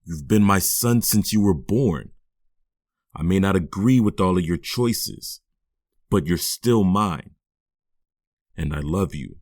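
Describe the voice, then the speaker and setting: A man's voice: low-pitched, expressive, slow, pauses often, darkish tone, no room sound, clean studio sound, heavily acted. An actor playing a firm but loving middle-aged stepfather who sighs often and talks wearily. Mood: tender. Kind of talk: audio drama acting.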